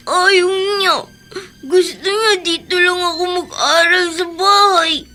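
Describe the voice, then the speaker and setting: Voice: extremely high pitch, sharp tone, heavily acted. A performer playing a tearful, polite young child in a Tagalog radio drama, pleading plaintively with a Filipino accent.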